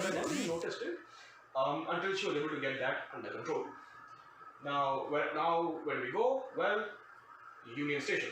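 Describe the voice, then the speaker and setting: A man's voice: fairly high pitch, sharp tone. A man telling a casual comic anecdote in a wry, sarcastic, digressive way. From grew up outside the country where they now live. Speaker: storyteller, host of a storytime show. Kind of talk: casual comedic anecdote storytelling. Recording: very echoey room, distant mic, faint noise.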